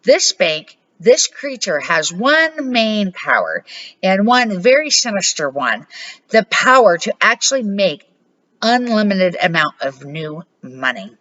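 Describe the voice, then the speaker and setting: A woman's voice: mid-range voice, big pitch swings, takes it slowly, fairly bright tone, lo-fi sound, full character voice. An older woman reading the part of a patient old grandfather who explains things emphatically in a children's storybook. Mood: grave, emphatic. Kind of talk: storybook read-aloud.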